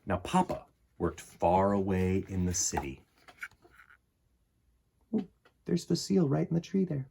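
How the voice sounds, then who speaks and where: A man in his forties with a slightly husky voice, reading and presenting a children's picture book in an expressive, dramatic way. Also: fairly low pitch, a little hiss or hum. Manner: animated, dramatic, with deliberate pauses and emphasis. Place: small indoor room in front of bookshelves.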